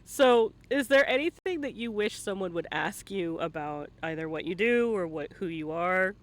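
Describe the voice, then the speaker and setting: A man interviewing a guest in a casual, playful chat, quick to laugh. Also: sits high, animated, fairly bright tone. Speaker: interviewer, stream host.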